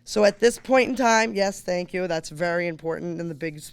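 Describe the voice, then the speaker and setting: A hoarse woman gives a campaign speech on someone's behalf. She talks chattily, breaking off mid-sentence for casual asides, and her voice still comes through smooth and clear. The sound is dry and close. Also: on the low side, moderate pace, dry recording. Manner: chatty, self-interrupting, informal asides. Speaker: campaign surrogate speaker. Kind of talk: stump speech at a candidates night.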